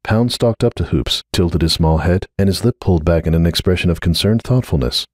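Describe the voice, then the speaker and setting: Male storyteller, fairly low register, dry studio sound, expressive scripted narration for fiction. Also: brisk, no room sound.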